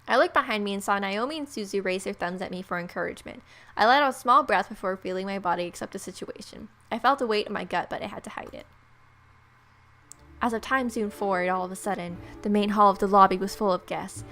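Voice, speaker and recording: A woman's voice: mid-range voice, fast, a bit bright. A woman hosting a gaming video, reading a visual novel's story aloud with playful asides.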